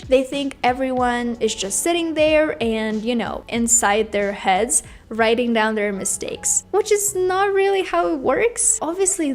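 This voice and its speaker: High-pitched Russian woman teaching English. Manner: chatty, emphatic, addresses viewers directly. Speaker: English teacher, video host. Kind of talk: casual explanatory talk to camera.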